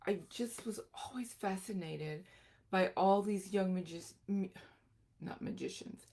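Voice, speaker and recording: Slow-paced, creaky-voiced middle-aged woman teaching. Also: lowish voice, darkish tone. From Costa Rica. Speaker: group piano teacher presenting a lesson. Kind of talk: informal instructional talk.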